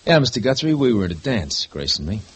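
A sneering, bullying husband, with a husky, lowish voice. He mocks everyone around him and keeps control of the talk. The sound is that of an old radio play. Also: very animated, fast talker. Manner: mocking, sarcastic, domineering. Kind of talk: 1950s radio drama acting.

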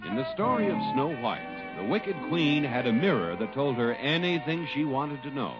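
Animated vintage radio announcer man. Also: mid-range voice, normal speed. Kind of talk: 1950s radio scripted narration. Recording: muffled old radio broadcast. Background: music.

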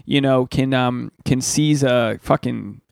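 A man's voice: medium pitch, takes it slowly, gravelly, no echo, clean studio sound. A man in his thirties hosting a podcast, telling crude, rambling stories in a casual comedic chat. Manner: crude, rambling, animated storytelling. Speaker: podcast host.

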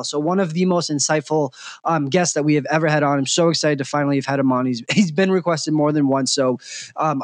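A man's voice: on the high side, dry recording. A man hosting a sports betting podcast, talking casually and effusively with lots of filler ums. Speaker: podcast host.

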